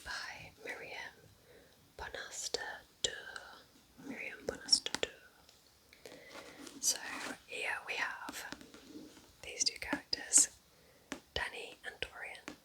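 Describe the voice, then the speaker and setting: A woman speaking in a soft, chatty, informal ASMR whisper, gently recommending things as a host in an unhurried way. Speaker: ASMR host reviewing books.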